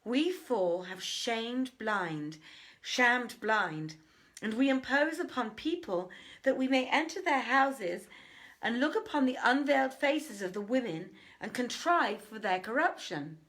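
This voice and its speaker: A woman's voice: fairly high pitch, lively intonation, takes it slowly, a bit bright, pitched above the performer's own voice. A woman voicing a brazen swindler who pleads and declaims in a storybook reading. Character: brazen swindler posing as a blind man, complaining loudly.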